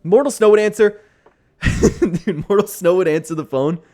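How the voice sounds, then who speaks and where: A man's voice: high voice, normal speed. A man hosting a gaming tier-list video, chatting casually and animatedly as he ranks people, with music behind him. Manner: animated, chatty, acts out imagined voicemails. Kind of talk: casual ranking commentary with impressions. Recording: background music under the voice.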